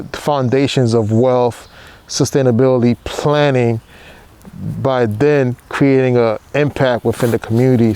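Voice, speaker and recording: A middle-aged man, born in Haiti and raised in New York, co-hosting a podcast and talking about his background in a relaxed, steady way with many fillers. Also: medium pitch. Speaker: podcast co-host. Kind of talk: casual chat.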